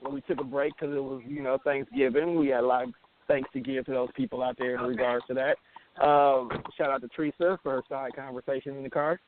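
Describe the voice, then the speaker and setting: A man's voice: mid-range voice, steady tone, fairly quick. A man hosting casual internet radio, bantering in a slangy, playful, profane way over a phone call. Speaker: radio show host. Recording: thin phone line with hiss.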